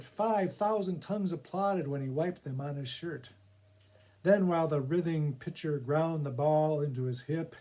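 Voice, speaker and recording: A man with a slightly high voice reads for a library audience. He speaks as a theatrical storyteller, giving verse and introductions an expressive, spoken-word feel. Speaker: poetry reader for a public library reading project. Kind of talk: dramatic poetry recitation with casual spoken introduction.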